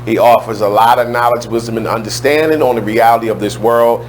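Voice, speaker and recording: A man with a loud, strong voice hosting a radio talk show in a casual, chatty way, speaking into a studio microphone. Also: medium pitch, steady tone, a little fast, a little hiss or hum.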